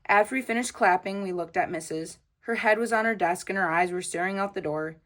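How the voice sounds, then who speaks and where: A woman reads a children's story aloud in a mid-range voice. She is expressive and uses simple, childlike phrasing, as a storyteller does for young listeners. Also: mid-range voice, a little fast. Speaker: children's book reader. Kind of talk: read-aloud storytelling of a children's book, in a child narrator's words.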